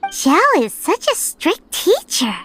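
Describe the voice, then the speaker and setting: A woman voicing a tiny, excitable, nosy fairy companion in a game, over background music. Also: takes it slowly, full character voice. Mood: chirpy. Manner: excitable, nosy, high squeaky voice. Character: tiny chirpy floating fairy companion, girl. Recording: game audio with music behind.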